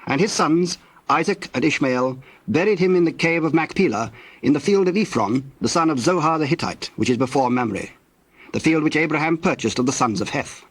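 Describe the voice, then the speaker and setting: A man narrating scripture from a script in a measured, formal storytelling manner. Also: on the high side, animated, husky, no echo, poor audio.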